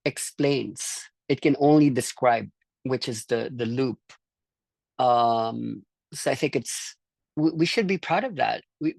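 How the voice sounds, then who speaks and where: Course leader from the Philippines, gravelly and dry-miked, halting, reflective delivery for a small group discussion. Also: medium pitch, no room sound. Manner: halting, thoughtful, repeating words.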